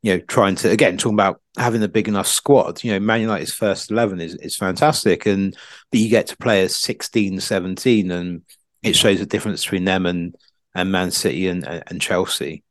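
A man giving casual football analysis as a pundit, haltingly, repeating words as he thinks. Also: low voice, steady tone, no room sound.

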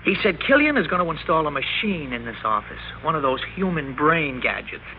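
An American actor playing a cynical, defiant office clerk, brash, sarcastic and slangy, in old-time radio drama style. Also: male voice, a little high, very animated, a little fast, phone-quality sound. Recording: thin, noisy vintage radio recording.

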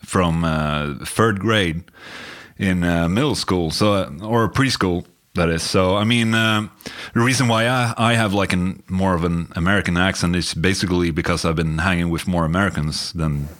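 Swedish male guest, low-ish voice, relaxed rambling chat. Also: moderate pace. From Sweden. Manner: relaxed, rambling, many fillers. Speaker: guest musician. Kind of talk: casual chat.

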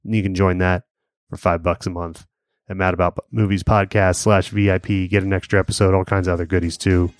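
A man's voice: low-pitched, little pitch movement, brisk, no echo, studio quality. A man co-hosting a podcast, chatting casually with plenty of fillers and restarts. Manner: rambling, lots of fillers and restarts. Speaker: podcast co-host. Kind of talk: casual chat.

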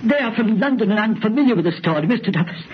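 An actor playing a solemn, superstitious preacher in an old radio horror play, speaking formally and pleadingly. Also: male voice, sits high, lively intonation, very fast. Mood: alarmed, urgent. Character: solemn, superstitious preacher fearful of an evil legend. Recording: dull, noisy old radio recording.

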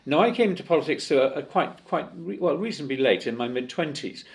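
A man's voice: on the high side, expressive, brisk. An older man from Wales, talking as a guest in a conversational interview, chatty and full of fillers and restarts. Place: office in Parliament.

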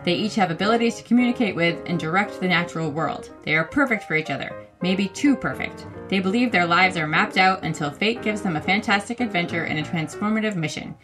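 A woman reading a scripted sponsor ad in a smooth, even delivery. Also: fairly low pitch, a little fast, hardly any pauses. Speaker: sponsor announcer. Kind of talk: scripted ad read. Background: music.

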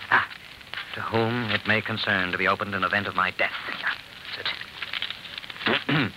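An anxious, evasive man with a secret played by a male actor in a vintage radio crime drama. His voice sits a little low, under heavy hiss and noise of an old recording. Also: fairly even intonation, normal speed, natural voice. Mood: nervous.

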